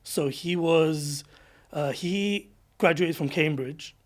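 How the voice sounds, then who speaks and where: A man, speaking as a podcast guest. His voice sits a little higher than most men's. He talks in a relaxed, thoughtful way, pausing and restarting as he works through his answers. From London, England. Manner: reflective, with frequent restarts and fillers. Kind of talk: casual interview chat.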